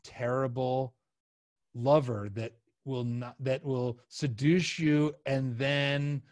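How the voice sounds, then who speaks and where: A middle-aged male podcast host in casual conversation, halting as he searches for words. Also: medium pitch, fairly even intonation, darkish tone, dry recording. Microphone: podcast microphone and headset.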